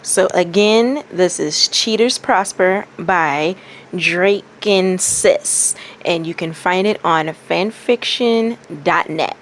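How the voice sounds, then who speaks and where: Casting: woman with a slightly low voice, informal story-time reader, faint noise in the recording. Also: slow. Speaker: fan fiction reader and commentator. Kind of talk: casual story-time talk and read-aloud narration.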